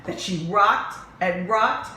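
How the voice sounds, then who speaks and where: A woman's voice: medium pitch, takes it slowly, pushed and bright. A woman reading a picture book aloud to young children as a storyteller, with dramatic, emphatic phrasing. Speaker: children's librarian reading stories. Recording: roomy echo with faint background noise.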